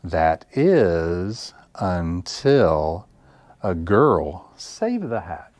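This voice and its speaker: Man, low voice, unhurried pace, children's read-aloud, deliberate phrasing, story time host, music behind. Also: sing-song, darkish tone, no echo.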